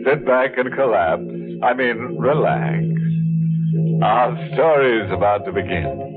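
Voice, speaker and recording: A man's voice: mid-range voice, animated, slow pace. A man playing a macabre, sardonic horror host in a 1940s radio drama, speaking with a sing-song, chuckling delivery. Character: ghoulish male horror host, mocking, macabre wit. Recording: tinny old radio broadcast with music.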